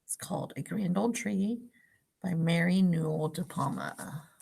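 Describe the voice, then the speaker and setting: A woman reading a picture book aloud to young children, pausing for simple questions and explanations like a teacher. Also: lowish voice, creaky, a little mellow, husky. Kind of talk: read-aloud storytime with asides and questions to young listeners.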